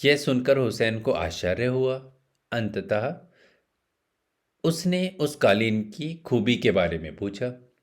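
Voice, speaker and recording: Male narrator for bedtime tales, mid-range voice, unhurried and measured, with pauses between phrases. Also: medium pitch, big pitch swings. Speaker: storyteller. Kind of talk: scripted bedtime story narration.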